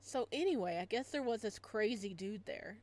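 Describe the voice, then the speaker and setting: A woman reading visual novel lines as a slangy, emphatic young male bar host sharing excited street gossip. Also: mid-range voice, moderate pace, lightly acted. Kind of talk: visual novel dialogue read aloud.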